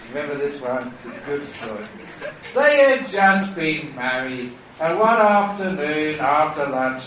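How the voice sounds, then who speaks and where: Slow, lively monk in an echoey hall. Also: male voice, a little high, far from the mic, slight background noise. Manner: storytelling, playful and warmly persuasive. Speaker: Buddhist monk teacher. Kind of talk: dharma talk at a retreat. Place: temple hall.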